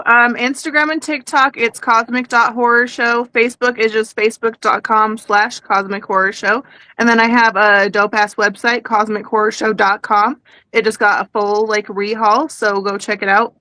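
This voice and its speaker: A woman's voice: fairly high pitch, pushed and bright, no room sound, rough recording. A woman guest chatting casually about her small business, slangy and sweary. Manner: slangy, profane. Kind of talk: casual chat, plugs and shout-outs.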